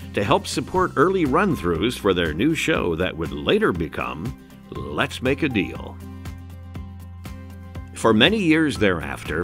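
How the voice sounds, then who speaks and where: A man's voice: fairly low pitch, fairly bright tone. A man reading scripted narration as a documentary narrator.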